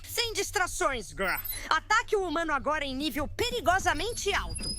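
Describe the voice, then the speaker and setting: An actor voicing an excitable, theatrical alien in a cartoon dub. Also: high voice, moderate pace, soft background music, exaggerated voice. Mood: bossy, urgent.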